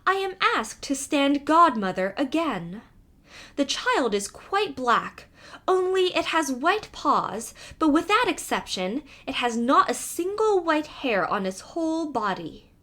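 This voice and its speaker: A woman's voice: high voice, sing-song, slow pace, pushed and bright, pitched above the performer's own voice, full character voice. A woman voicing a sly, greedy female cat in a storybook, with a cool, smug and dismissive manner. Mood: coaxing, sly.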